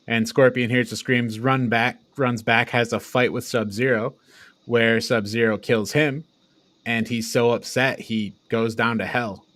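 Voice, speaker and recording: A man's voice: mid-range voice, steady tone, unhurried, fairly bright tone. A man co-hosting a movie review, recapping scenes in a loose, hesitant, conversational way. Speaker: co-host of a movie review show. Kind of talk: casual chat, recapping film scenes.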